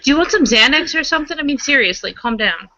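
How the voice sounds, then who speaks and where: A woman playing an outspoken, hopeful, excitable member of a werewolf pack in a tabletop roleplaying game. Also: sits high, fast, dry recording, highs cut off, pitched above the performer's own voice. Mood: exasperated. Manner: emphatic, frank, casual.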